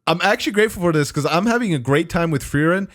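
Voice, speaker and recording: A man co-hosting a casual show of reactions to anime, easygoing and relaxed, often dropping yeah and man. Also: fairly high pitch, fast talker. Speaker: co-host.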